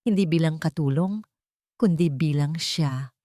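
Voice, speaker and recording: A woman's voice: low voice, unhurried, mellow, no room sound, clean studio sound. A woman narrating a scripted dramatic love story as an audiobook narrator.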